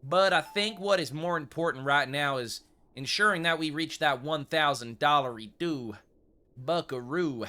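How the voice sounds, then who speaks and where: A man's voice: a little high, unhurried, bright, forceful tone. A man playing a fussy frontier gun shop owner in gameplay commentary, with a put-on American Old West accent and wordy, mock-formal courtesy. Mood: determined. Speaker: gaming video creator.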